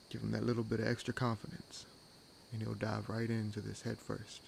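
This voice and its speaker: Low, gravelly, wry vampire played by a man. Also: a little hiss or hum, poor audio. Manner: teasing, sardonic, unhurried with pauses. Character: wry, world-weary one-armed male vampire hunting his own kind. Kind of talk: intimate audio roleplay acting. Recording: nature ambience laid under voice. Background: forest ambience with crickets and insects.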